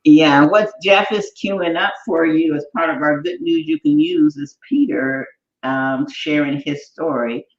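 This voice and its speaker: A woman's voice: sits low, sing-song, a little slow, gravelly. A woman co-hosting a show in a bubbly, effusive way, chatting casually and using lots of fillers. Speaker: show co-host. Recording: echoey, dull room audio.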